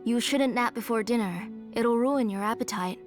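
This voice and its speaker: A woman playing a kindly, motherly older woman in a video game, gentle and fussing. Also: fairly high pitch, normal speed, lightly acted. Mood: doting. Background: game music.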